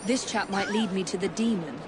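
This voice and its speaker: A woman playing a brisk, skeptical investigator in a video game, with direct, questioning delivery. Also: medium pitch, background noise, natural voice. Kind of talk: game cutscene dialogue.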